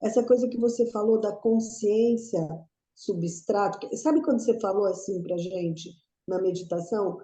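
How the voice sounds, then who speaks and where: Middle-aged woman, very dark mellow voice, rambling question, echoey dull audio. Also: medium pitch, moderate pace. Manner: rambling, restarting sentences. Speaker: student asking a question. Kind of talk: casual group discussion.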